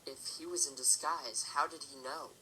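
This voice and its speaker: Man playing a firm, forceful stallion father. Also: a little high, steady tone, moderate pace, slight background noise, low-quality recording. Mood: stern, demanding. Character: protective stallion father, firm, stern, principled. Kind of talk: audio drama acting.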